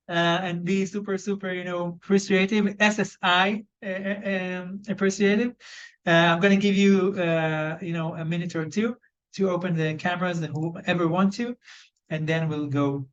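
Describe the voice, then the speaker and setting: A man with an Israeli accent and a fairly high voice runs an online job search training. He speaks loosely, full of ums and self-corrections. The video call audio is slightly dull. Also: a bit bright. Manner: loose, conversational, lots of fillers and restarts. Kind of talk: live online training session.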